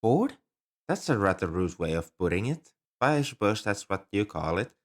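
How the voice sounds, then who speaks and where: A man's voice: on the low side, big pitch swings, moderate pace. A man playing an ancient, polite, nerdy dragon who collects coins, speaking earnestly and a little primly to the listener in an ASMR roleplay. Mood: miffed, chiding. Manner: polite, earnest, slightly prim and scholarly. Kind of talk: ASMR roleplay, one-sided dialogue with the listener.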